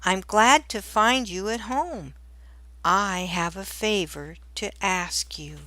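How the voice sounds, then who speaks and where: A polite young storybook prince, earnest and in love, read by a woman. The words come very slowly and with courtly care, in a dry, close sound. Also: mid-range voice, pushed and bright, no echo. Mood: courteous.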